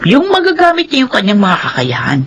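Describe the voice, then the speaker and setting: A woman's voice: high-pitched, huge pitch swings, a little fast, fairly bright tone, a little hiss or hum, heavily acted. A woman playing a kindly, older foster mother in a radio drama, homely and easily flustered. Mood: matter-of-fact.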